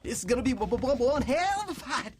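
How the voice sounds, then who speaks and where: A man's voice: very high voice, sing-song, brisk, a little hiss or hum. An actor playing a twitchy Old West sidekick with a heavy stutter in a video game. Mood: frightened, grateful. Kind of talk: video game cutscene acting.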